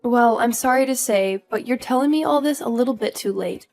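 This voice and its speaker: A woman's voice: a little high, fairly quick, vocal fry, a little mellow, soft background music. A woman playing an earnest young daughter-in-law who is polite but firm, in a scripted audio story. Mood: defensive. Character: earnest, indignant young daughter-in-law standing her ground.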